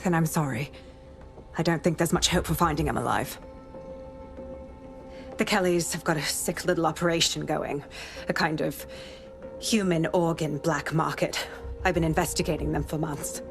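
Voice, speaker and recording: A tough female detective in a game drama. Her voice is low for a woman, terse and hard-edged, with weary determination. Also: moderate pace, gravelly, pauses often, music in the background. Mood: weary, bitter. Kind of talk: dramatic game cutscene acting.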